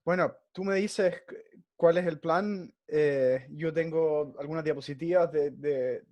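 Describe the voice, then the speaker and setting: A man's voice: a little high, unhurried, dry recording, dull audio. A man with a Chilean accent, a physician guest talking semi-formally on a video call, hesitating and repeating words. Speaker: guest speaker, emergency physician.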